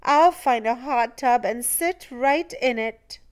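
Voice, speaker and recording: A woman voicing a shivering, cheerful, childlike male snowman in a storybook, exclaiming with drawn-out, dramatic words. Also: on the high side, clean tone. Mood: shivering, complaining.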